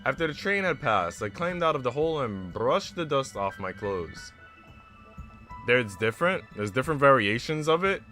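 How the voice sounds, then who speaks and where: A man livestreaming a visual novel, moving between casual, chatty musing and expressive story narration. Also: mid-range voice, moderate pace. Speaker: streamer reading a visual novel aloud. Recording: music playing behind the voice. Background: background music.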